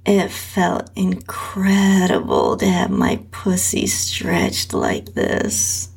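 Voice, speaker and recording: Slow, husky woman narrator with creaky voice. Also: lowish voice, wildly swooping pitch. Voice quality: husky, breathy. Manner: slow, drawn-out reading with whispery dips. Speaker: host and story narrator. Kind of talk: scripted first-person erotic story narration.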